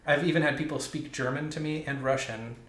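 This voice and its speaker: A man's voice: fairly high pitch, steady tone, fairly quick, a bit bright, echoey room. A man casually telling a funny story about a language mix-up, laughing as he goes. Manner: laughs while telling stories.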